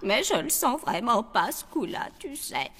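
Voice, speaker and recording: A woman's voice: fairly high pitch, sing-song, measured pace, a crowd in the background. A woman voicing a nervous, reluctant young cartoon sidekick, hesitant and protesting. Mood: uneasy. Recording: music behind the voice.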